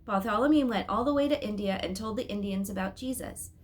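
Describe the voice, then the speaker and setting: A woman reading a storybook, voicing an earnest young schoolboy who is easily outraged, with emphatic, deliberate delivery. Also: mid-range voice, natural voice.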